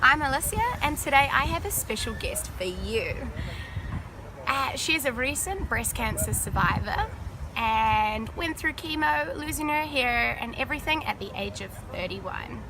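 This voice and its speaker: A woman's voice: high voice, expressive, normal speed, background noise. A woman hosting an interview, introducing her guest and asking questions in a friendly, upbeat way. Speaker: interview host.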